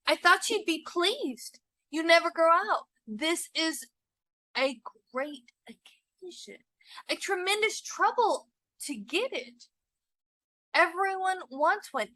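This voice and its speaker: A woman's voice: very high-pitched, pushed and bright, dry recording, voice pushed up, heavily acted, poor audio. A woman reading the lines of an eager, well-meaning husband in a story, exclaiming and keen to please.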